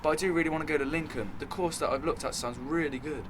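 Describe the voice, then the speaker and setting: A man's voice: a little high, quick pace, slight background noise, lightly acted. Man playing a casual, hesitant working-class teenager. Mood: grateful, earnest. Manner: informal, hesitant. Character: polite, down-to-earth working-class teenage boy. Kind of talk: radio drama acting.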